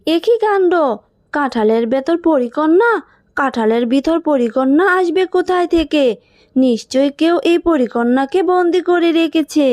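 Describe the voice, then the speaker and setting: A woman's voice: high-pitched, animated, moderate pace, a little mellow, no room sound, clean studio sound. A woman voicing a protective, scolding mother fox in a children's cartoon, with an anxious motherly manner. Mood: astonished. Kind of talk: cartoon character acting.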